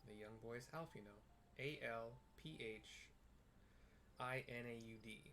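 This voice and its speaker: Relaxed man narrating a game. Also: mid-range voice, faint music behind. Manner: relaxed, halting, with fillers and letter-by-letter spelling. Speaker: narrator describing a game for blind viewers. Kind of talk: casual audio-described game playthrough.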